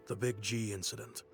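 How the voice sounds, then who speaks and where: A man playing a self-made, reflective merchant in a video game, proud and measured, loyal to old friends. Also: on the low side, vocal fry. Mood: weary, reflective. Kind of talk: video game character acting.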